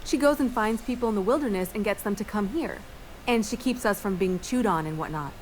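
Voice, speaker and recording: A woman's voice: medium pitch, brisk, darkish tone, lightly acted, low-quality recording. Woman acting plainspoken settler woman, helpful, chatty, video game dialogue, faint noise behind. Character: folksy frontier settlement woman, helpful and loyal.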